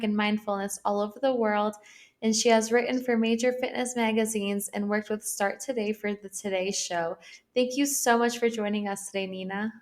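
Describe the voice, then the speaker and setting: A woman hosting an interview podcast, asking questions and responding in a conversational way. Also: fairly high pitch. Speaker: podcast host.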